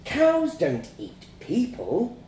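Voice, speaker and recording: A man voicing a little boy's gentle, concerned mother in a told story, cooing and soothing. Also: very high-pitched, huge pitch swings, drawn-out words, reverberant, a little hiss or hum, pitched above the performer's own voice, exaggerated voice.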